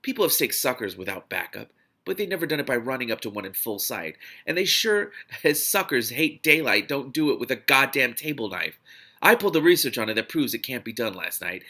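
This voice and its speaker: Blunt male field agent for an audiobook, read by a man: bright forceful edge, creaky, slangy and emphatic recruiter. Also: medium pitch, sing-song, fairly quick, full character voice. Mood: incredulous, emphatic.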